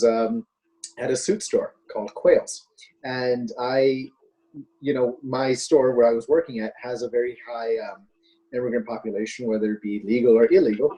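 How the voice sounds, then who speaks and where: A man co-hosts a casual political chat show in a warm, dark voice. He rambles, circling his points with fillers and restarts. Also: mid-range voice, low-quality recording. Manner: rambling, hesitant, with many fillers. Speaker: podcast co-host.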